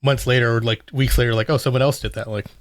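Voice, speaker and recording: A man who tends bar, talking as a guest in casual chat, relaxed and rambling with lots of fillers. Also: medium pitch, quick pace, dry recording, studio quality. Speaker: bartender guest.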